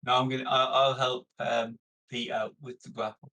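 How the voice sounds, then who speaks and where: A man's voice: medium pitch, level tone, moderate pace, sharp tone. A man playing a tabletop roleplaying game, speaking in casual table chat.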